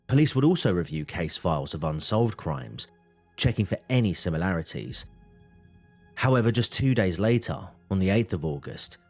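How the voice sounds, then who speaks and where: Male narrator for scripted true crime, deep register, faint music bed.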